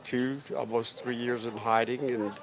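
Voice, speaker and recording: A gravelly, low-pitched man in his eighties speaks in a flat, even tone. He tells his story haltingly, pausing again and again on filler sounds. He is being interviewed live. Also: a little hiss or hum. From Ukraine. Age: 80s. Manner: halting, with many filler pauses. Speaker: Holocaust survivor interviewee.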